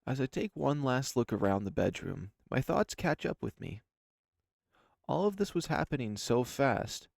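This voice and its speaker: A man reading a first-person story aloud in an expressive narrating style. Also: mid-range voice, unhurried, gravelly, no echo, clean studio sound. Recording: music under the voice. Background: background music.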